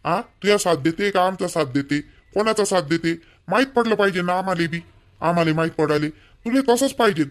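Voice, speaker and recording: A man's voice: high voice, animated, fairly quick. A man voicing a blunt, fault-finding young village husband in an amateur cartoon, nagging in a Vidarbha Marathi accent. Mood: scolding, accusing. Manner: blunt, nagging, repetitive complaining. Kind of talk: amateur cartoon dialogue in rural dialect.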